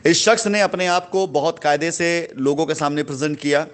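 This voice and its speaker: A man speaks in a moderately high voice. He addresses customers like a company head making a formal announcement. His delivery is indignant and emphatic, with phrases repeated for stress. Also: brisk. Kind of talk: voice note announcement, speech-like monologue.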